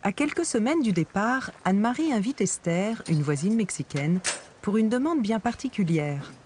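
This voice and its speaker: A man giving calm, measured scripted narration for a documentary. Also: sits high, slight background noise.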